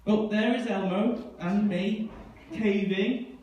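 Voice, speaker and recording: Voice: medium pitch, takes it slowly, a little mellow, close to an everyday voice. A performer voicing a cheeky, boastful child puppet boy with an exaggerated, bragging delivery in a children's puppet show. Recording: echoey room, distant mic, faint noise.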